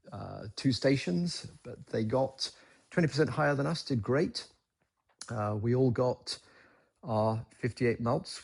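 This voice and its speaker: A man giving an unscripted, matter-of-fact recap as a ham radio operator, in measured phrases. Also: on the low side, gravelly, a little mellow, husky. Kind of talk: unscripted explanatory recap to camera.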